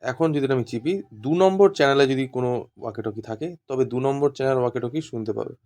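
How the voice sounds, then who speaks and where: A man's voice: mid-range voice, quick pace, a little mellow. A man giving an informal hands-on tutorial as an instructor, explaining step by step and repeating himself. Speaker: instructor demonstrating walkie-talkies.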